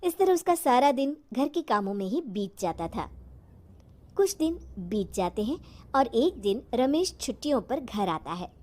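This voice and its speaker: A woman with a high voice narrates a moral tale. Her delivery is scripted storytelling, setting out events as a narrator. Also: very animated, unhurried, a little mellow.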